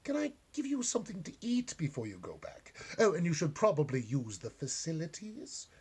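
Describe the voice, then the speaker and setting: A man's voice: fairly high pitch, wildly swooping pitch, moderate pace, a little mellow, husky, pitched above the performer's own voice, exaggerated voice. A man voicing a kindly, proper old English widow in a storybook, fussy and primly polite. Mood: brisk, motherly.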